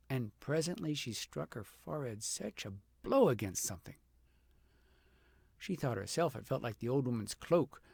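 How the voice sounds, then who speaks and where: A man narrates a children's fairy tale in a gravelly voice with plenty of fry. He leans on key words and stretches phrases for drama. The sound is dry and intimate, with no room around it. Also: mid-range voice, darkish tone, no echo. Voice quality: gravelly, slightly husky. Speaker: audiobook reader.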